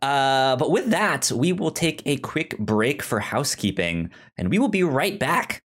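A man's voice: medium pitch, very animated, a little slow, a bit bright. A man co-hosting a podcast, chatting casually and often stammering over repeated words. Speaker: podcast co-host. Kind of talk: casual film podcast chat.

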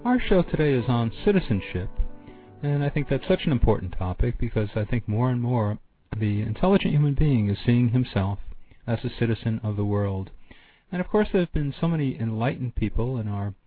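A man's voice: medium pitch, animated, a little fast, soft background music. A man hosting a discussion show, talking in an earnest, reflective way. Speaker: host. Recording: tinny phone-like sound, dry.

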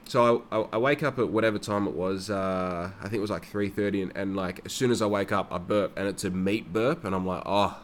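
Rambling, sweary man, low voice. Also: fairly quick, few pauses, a little hiss or hum. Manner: rambling and heavily profane. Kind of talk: casual personal monologue.

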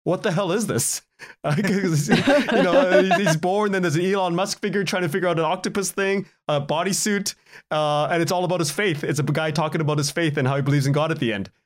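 Halting, slightly higher-voiced man, interview guest. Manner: halting, rambling, self-interrupting with repeated words. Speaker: podcast guest, writer and podcaster. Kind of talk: casual interview conversation.

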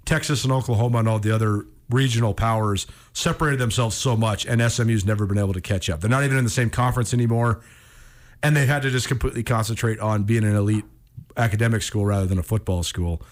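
A man's voice: fairly low pitch, fast talker. An American man from Montana hosting sports radio, telling stories in an emphatic, conversational way in a studio. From Montana, United States. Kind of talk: casual radio chat, storytelling.

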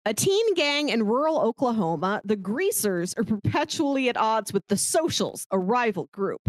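A woman's voice: on the high side, sing-song, moderate pace, no room sound. A woman co-hosting a podcast, chatting casually about movies. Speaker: podcast co-host. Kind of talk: casual movie discussion with some read-aloud synopsis.

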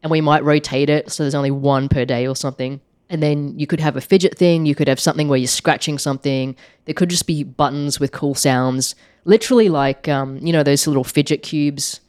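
A woman with a croaky voice from being sick chats loosely and fills her sentences with "like". The sound is dry and close, with no room around it. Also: low voice, fairly even intonation, a little fast, hardly any pauses, a little mellow, no echo. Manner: chatty, many "like" fillers, laughs easily. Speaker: podcast co-host, app maker. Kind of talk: casual chat.

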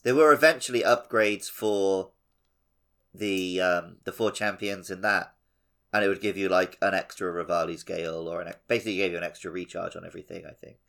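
A man's voice: sits low, fairly even intonation, fairly quick, vocal fry, fairly bright tone. A man co-hosting a podcast, chatting casually in a tentative, conversational way. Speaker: podcast co-host. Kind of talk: casual chat.

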